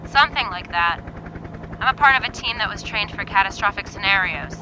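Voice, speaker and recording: A woman acts out a serious disaster-response doctor in an audio drama. Her voice is medium-pitched, her delivery reserved and terse, with loud engine noise all around. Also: animated, fairly quick, lightly acted. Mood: guarded, uneasy. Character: guarded, serious female doctor and disaster specialist. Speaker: doctor on an emergency response team.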